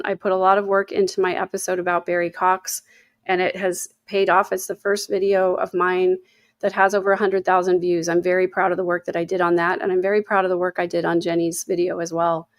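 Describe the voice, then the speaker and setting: A woman's voice: fairly low pitch, flat delivery, brisk, no echo. A woman in her fifties hosting a casual livestream, rambling between topics and reading chat messages aloud with lots of fillers. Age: 50s. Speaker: livestream host.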